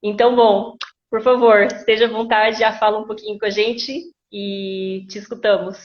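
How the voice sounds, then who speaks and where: A woman's voice: mid-range voice, flat delivery, normal speed. A woman with a Brazilian Portuguese accent hosting a live online interview, rambling with many restarts. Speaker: host. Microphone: video call. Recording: dull lo-fi sound.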